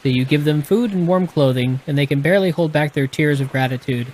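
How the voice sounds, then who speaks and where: A man's voice: on the high side, fairly quick, darkish tone, rough recording. Texan man reading a gamebook live. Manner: casual and explanatory, with frequent restarts and self-corrections. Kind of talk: reading a gamebook aloud and chatting casually with a live audience.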